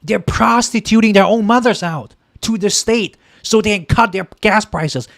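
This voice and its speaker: High-pitched, fired-up male livestreamer. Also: moderate pace, vocal fry, fairly bright tone, no room sound, studio quality. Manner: impassioned, repetitive, thanks chat viewers by name. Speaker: political livestream host. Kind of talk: heated political rant on a livestream.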